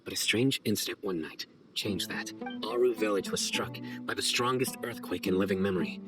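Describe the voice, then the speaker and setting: A man playing a stern, guarded young investigator in a video game, speaking in a formal, measured and deliberate way. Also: lowish voice, music in the background, lightly acted. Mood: solemn, storytelling. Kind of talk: scripted video game dialogue.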